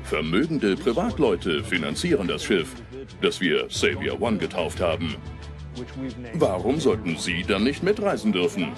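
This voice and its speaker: A self-assured male billionaire argues his case in an interview. His voice is very low and lively in pitch. Music plays behind him. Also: measured pace. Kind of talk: dubbed interview acting.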